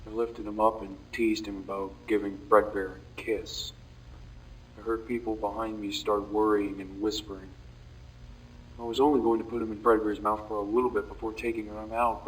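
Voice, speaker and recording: Casting: male actor as brooding, remorseful young man for horror audio drama; low, flat, halting delivery, captured off-mic with faint noise. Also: far from the mic, natural voice, low-quality recording. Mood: heavy, confessing. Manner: somber, halting, confessional with heavy pauses. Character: guilt-ridden, brooding young man confessing dark memories. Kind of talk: scripted audio-log monologue, horror fan drama.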